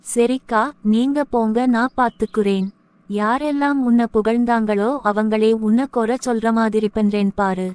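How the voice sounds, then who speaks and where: A woman voicing a jealous, scheming younger daughter-in-law in an animated family moral short. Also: on the high side, moderate pace, clear, resonant tone, no room sound, natural voice. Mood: falsely sweet. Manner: resentful, plotting, speaks thoughts aloud.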